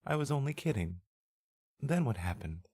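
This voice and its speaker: A man's voice: medium pitch, very animated, normal speed, darkish tone, slightly rough, pitched above the performer's own voice. A man voicing a playful wife who teases her serious husband, in audiobook dialogue. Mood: teasing, coaxing.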